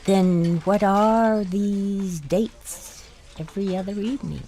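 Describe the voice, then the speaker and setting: A woman's voice: medium pitch, slow pace, mellow. An actress playing a wry, indulgent older wife who tells her story confidingly in an audio drama. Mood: insistent, pleading. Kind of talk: audio drama acting with first-person narration.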